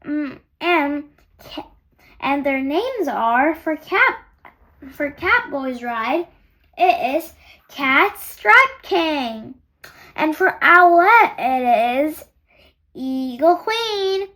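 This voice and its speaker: High, bright young female storyteller. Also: big pitch swings. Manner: sing-song, halting, addresses young listeners with questions. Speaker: storyteller reading a picture book aloud. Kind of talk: children's story read-aloud.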